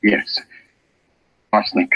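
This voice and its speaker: An actor playing a distraught, secretive husband in an old-time radio drama, speaking in a halting, urgent, earnest way. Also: male voice, bright, forceful tone. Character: distraught, secretive husband confiding a family murder. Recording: muffled vintage radio recording.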